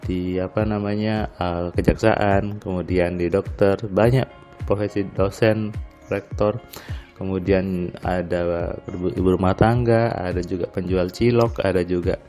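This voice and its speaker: Low, dark-voiced man with a stuffy nose. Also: a little slow, faint music behind. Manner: relaxed, rambling with frequent fillers. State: slightly nasal, stuffy voice. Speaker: motivational audio diarist. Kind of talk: casual spoken diary monologue. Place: house terrace at night.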